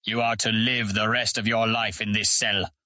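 Stern fantasy audiobook prison guard: low, hard-edged voice, formal and commanding, close dry sound. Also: male voice, little pitch movement, moderate pace, pushed and bright, no echo. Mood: grave, commanding. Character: stern armed prison guard, grave, not unkind.

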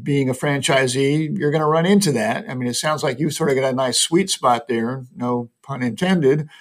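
A man's voice: medium pitch, normal speed. A man hosting a business podcast, asking long questions with many uhs and repeated words. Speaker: podcast host. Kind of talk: casual interview questions.

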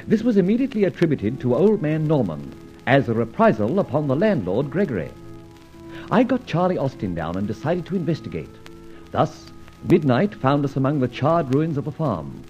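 An actor playing a suave adventurer sleuth in an old radio drama, speaking in an articulate, wry, theatrical manner. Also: male voice, a little high, a little mellow. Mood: grave narration. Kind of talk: old radio drama acting with scripted narration. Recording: muffled old radio recording with music.

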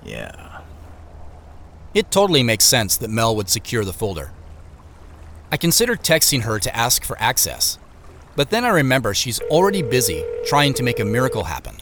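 A man's voice: medium pitch, wildly swooping pitch, moderate pace, a bit bright, slight background noise. An actor playing a wry, beleaguered office man on the run, narrating his own audio drama in the first person with understated, muttered asides. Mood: muttered, dry. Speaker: actor narrating as main character.

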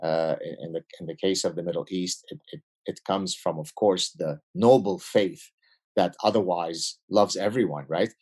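Deep-voiced Lebanese-born man, emphatic. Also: normal speed. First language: Arabic. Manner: animated, emphatic lists and restarts. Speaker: guest, behavioral scientist. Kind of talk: podcast interview.

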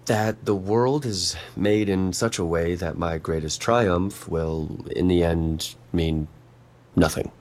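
A man playing a guarded, gloomy intellectual in a naturalistic audio drama, speaking in a dry, sceptical deadpan. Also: sits low, slow.

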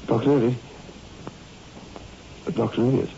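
Earnest, mellow-voiced assistant surgeon. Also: male voice, mid-range voice, steady tone, measured pace, gravelly. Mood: respectful, solemn. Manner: formal, urgent, emphatic. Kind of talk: 1960s radio horror drama acting. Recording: muffled, noisy old radio recording.

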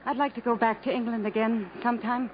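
A woman's voice: fairly high pitch, fairly even intonation, quick pace, natural voice. A woman acting in a 1950s radio drama, playing a subdued, wistful, resigned woman with a dreamy, restrained way of talking. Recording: muffled, noisy 1950s radio broadcast.

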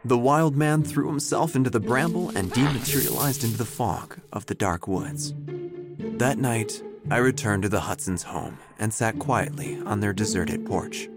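An actor playing an earnest, wordy obituary writer who narrates an audio drama in a deliberate, deadpan way. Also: male voice, mid-range voice, natural voice. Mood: somber. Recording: faint music behind.

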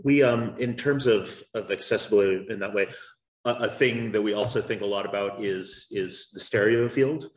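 A man's voice: lowish voice, normal speed. A man talking through podcast production at a panel, with many fillers and restarts. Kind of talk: panel discussion. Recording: roomy echo.

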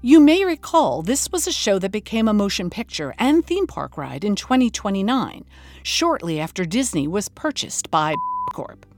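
A woman's voice: medium pitch, big pitch swings, moderate pace, darkish tone, faint music behind. An actress playing a wry, incredulous woman hosting a scripted investigative documentary podcast, with a dramatic storytelling manner. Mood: earnest narration. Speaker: host.

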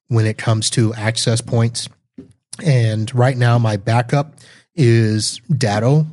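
A man giving a casual, unscripted product review as a host, explaining things with halting self-corrections. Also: mid-range voice, a little mellow. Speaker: tech reviewer and podcast host on a live stream.

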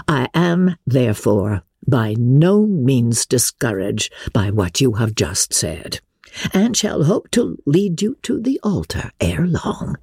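A woman's voice: extremely low pitch, sing-song, takes it slowly, darkish tone, husky, no echo, clean studio sound, exaggerated voice. A woman voicing a pompous, long-winded clergyman suitor in a formal, ponderous manner for a dramatic reading of a classic novel. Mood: unruffled, smug.

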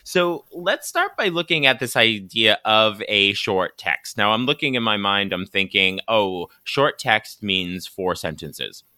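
A man hosting a teaching podcast, upbeat and chatty, his voice a little hoarse from shouting the night before. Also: lowish voice, lively intonation, a little slow, few pauses, no room sound, studio quality. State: raspy voice from shouting at a school dance. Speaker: podcast host. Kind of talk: podcast interview.

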